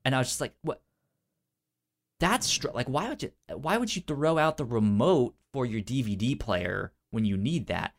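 A man's voice: medium pitch, expressive, normal speed. A man chatting casually as a podcast co-host, stuttering on first sounds of words and acting out conversations as he tells stories. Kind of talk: casual storytelling chat.

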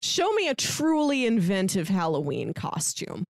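Animated, chatty woman, very swoopy pitch. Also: unhurried, vocal fry, dry recording, studio quality. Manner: animated, jokey, acts out quotes. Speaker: podcast co-host. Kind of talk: casual book-discussion chat.

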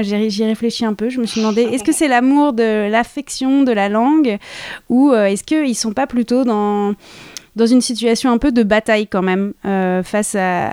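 Woman radio host interviewing a guest: clear, smooth, slightly high voice, dry booth sound. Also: mellow, no room sound. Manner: friendly, measured questioning.

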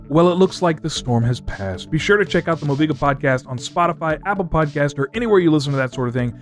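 Male entertainment news host over clear music. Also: fairly high pitch. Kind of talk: scripted news narration.

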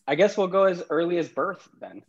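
A man's voice: sits high, brisk, gravelly, dull audio. A man from Pakistan, chatting easily as a podcast guest, laughing often between thoughts. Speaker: podcast guest, startup CEO. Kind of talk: casual interview conversation.

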